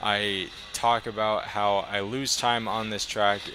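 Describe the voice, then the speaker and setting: Young man, bright relaxed vlog voice-over. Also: on the low side, slow pace, faint music behind, poor audio. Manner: relaxed, rambling, enthusiastic. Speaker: motovlogger. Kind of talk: casual voice-over commentary.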